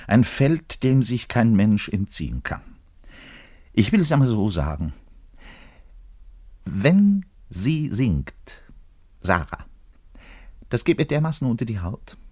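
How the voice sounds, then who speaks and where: Husky, dramatic, lovelorn orchestra musician. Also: male voice, fairly low pitch, big pitch swings, measured pace, long pauses, heavily acted. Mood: agitated, scornful longing. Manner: dramatic, swinging from hushed confiding to loud declaiming. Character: lovelorn, self-important, bitter orchestral double bass player. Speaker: actor in a radio play monologue. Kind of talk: theatrical radio play monologue, confiding in the listener.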